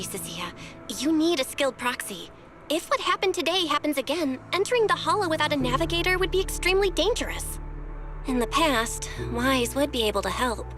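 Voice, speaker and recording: Very high-voiced, composed young guide. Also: female voice, a bit bright. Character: composed, caring young woman guide, gently teasing and determined. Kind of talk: video game story voice acting. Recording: music behind the voice.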